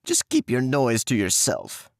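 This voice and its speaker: A man's voice: medium pitch, huge pitch swings, a little slow, vocal fry, no echo, clean studio sound, full character voice. A man voicing a wicked, mocking old female witch in a storybook reading. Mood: cruel.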